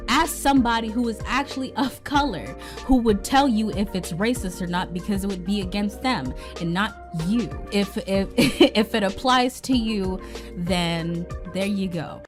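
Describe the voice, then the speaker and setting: A woman with a medium-pitched voice shares casual opinions as commentary. Music is clearly heard behind her. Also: unhurried. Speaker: YouTube commentator.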